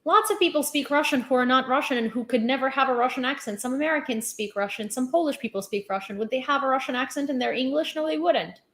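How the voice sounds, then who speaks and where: A woman with a fairly high voice and a Ukrainian accent hosts a casual news livestream. She is outspoken and emphatic, often repeating a phrase to drive it home. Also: fast, few pauses, fairly bright tone, echoey room. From Lviv, western Ukraine.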